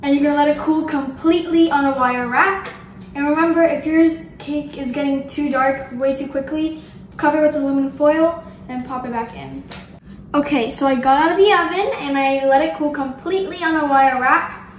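Teen girl home-cooking host, high-pitched, enthusiastic, echoey kitchen room. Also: steady tone, moderate pace, a little hiss or hum. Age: teens. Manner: bubbly, chatty, enthusiastic. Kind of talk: casual cooking demo.

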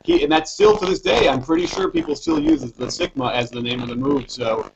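Gravelly American man on a noisy call. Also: on the high side, huge pitch swings, a little fast. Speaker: interview host, basketball coach. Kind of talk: casual sports chat. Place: living room, indoors. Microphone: video call, computer microphone. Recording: dull, noisy video-call audio.

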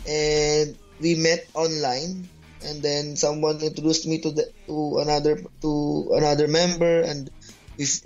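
A man from the Philippines with a Filipino accent, a native Tagalog speaker, answering interview questions hesitantly. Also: a little high. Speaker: band bassist, interview guest. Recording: dull lo-fi call audio, music behind. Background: music.

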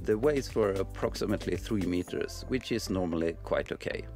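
A man's voice: sits low, moderate pace, a little mellow. A middle-aged man with a Swedish accent, a native Swedish speaker, narrating a travel story in English from a script. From Swedish mountains. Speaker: sailor and vlogger. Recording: voice-over with music behind. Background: music.

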